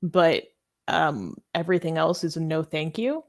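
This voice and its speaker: A woman's voice: deep voice, animated, moderate pace. A young woman co-hosting a live Q&A chat, thinking aloud and hesitant, with many ums. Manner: thoughtful, hesitant, lots of ums and laughs. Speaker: co-host.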